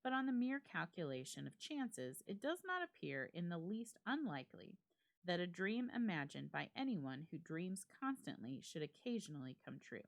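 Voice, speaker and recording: A woman reading a classic ghost story aloud as a dramatic narration, in a measured, formal literary delivery. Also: fairly low pitch, big pitch swings, creaky. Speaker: library staff member reading a story aloud.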